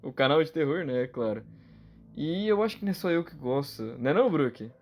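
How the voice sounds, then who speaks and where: A man's voice: a little high, animated, a little fast, darkish tone. A man with a Brazilian accent chatting casually and slangily to viewers as a channel co-host. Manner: informal, slangy. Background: background music.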